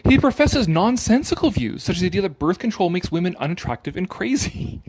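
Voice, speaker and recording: Higher-voiced man reading aloud with commentary. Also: very animated, fairly quick, a little mellow, husky, dry recording, low-quality recording. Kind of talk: dramatic reading of an article with off-the-cuff commentary.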